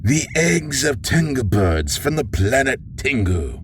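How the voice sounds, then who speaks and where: A man playing a scheming old villain father in an audio drama, measured and authoritative. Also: medium pitch, very animated. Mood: coaxing, scheming. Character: scheming old villain father, deep-voiced former ruler. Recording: noticeable background noise.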